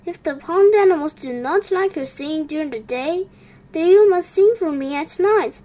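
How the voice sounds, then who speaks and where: A woman's voice: very high voice, animated, moderate pace, mellow, pitched above the performer's own voice. A woman voicing the Moon as a kind, lonely figure who comforts a sad frog. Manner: kind, soothing.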